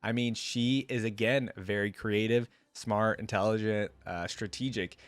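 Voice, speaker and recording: Low-voiced man, casual reaction host. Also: fairly even intonation, a little slow, no room sound, studio quality. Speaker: host reacting to game lore. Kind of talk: reaction commentary, casual chat.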